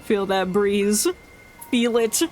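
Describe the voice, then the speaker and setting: A woman's voice: medium pitch, fairly even intonation, slow, darkish tone, a little hiss or hum. A woman streaming a game, giving casual, playful and emotive live reactions to the story. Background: game music.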